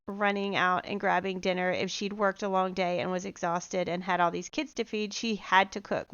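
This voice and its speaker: Chatty woman with a clear voice. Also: medium pitch, a bit bright, lo-fi sound. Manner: chatty, rambling. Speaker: lifestyle vlogger. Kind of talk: casual homemaking vlog chat.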